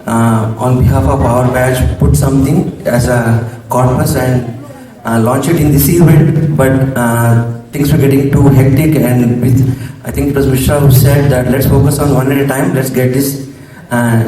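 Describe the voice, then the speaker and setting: A man in his forties from coastal Andhra Pradesh with an Indian English accent, slightly hoarse, giving a hesitant formal vote of thanks at an alumni reunion. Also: medium pitch, steady tone, moderate pace, mellow. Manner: hesitant, many ums and restarts. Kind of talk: formal public speech with pauses. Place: large hall. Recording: echoey hall mic from far off.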